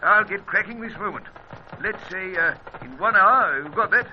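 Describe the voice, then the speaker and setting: A man's voice: sits high, lively intonation, moderate pace, dull audio, a little hiss or hum. A man acting a fussy, genial older British spymaster with an upper-class accent, stammering and blustering over a two-way radio in an adventure serial. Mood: concerned, resolute.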